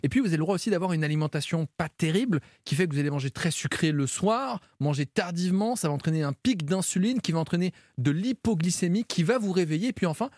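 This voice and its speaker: A man speaking as the resident doctor on a radio health show, explaining sleep problems in a brisk, methodical way. His voice sits a little high for a man and moves at a fast clip. The studio sound is close and dry. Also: animated, darkish tone, dry recording. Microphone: studio mic.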